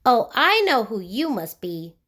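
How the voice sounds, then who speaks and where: A woman's voice: fairly high pitch, big pitch swings, a little slow, a bit bright, heavily acted. A woman voicing a small, playful male goblin in a children's storybook, teasing and exclamatory.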